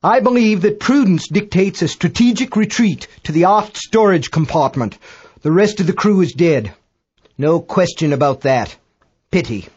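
A man's voice: high-pitched, expressive, moderate pace, a little mellow, slightly rough, lo-fi sound, voice pushed up. A man voicing a pompous, rattled amateur astronomer who speaks in stiff, pedantic, self-correcting understatement for a story. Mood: flustered, pedantic horror.

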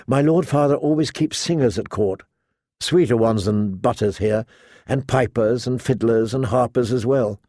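A man's voice: medium pitch, normal speed, mellow, no echo, studio quality, lightly acted. A man voicing a gracious, coaxing young noblewoman in an audiobook, with a courtly, inviting manner.

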